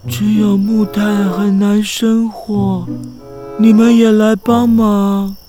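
A woman's voice: mid-range voice, flat delivery, takes it slowly, over music, lightly acted. A woman voicing a sulky young boy in a children's radio drama.

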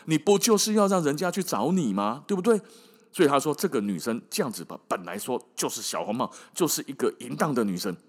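A man's voice: mid-range voice, sing-song, fast talker, husky. A man with a Taiwanese Mandarin accent telling stories as a podcast host in a casual, colloquial, wry and emphatic way, often tossing in rhetorical questions. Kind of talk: casual storytelling monologue.

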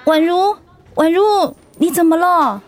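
A woman's voice: extremely high pitch, slow. An actress playing a caring, flustered schoolgirl classmate in a radio drama. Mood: worried.

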